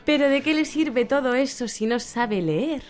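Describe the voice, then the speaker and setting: A woman's voice: high-pitched, expressive, normal speed, darkish tone, faint music behind. An actress in a satirical radio drama playing a pragmatic, exasperated mother who reasons sarcastically, with a Castilian Spanish accent.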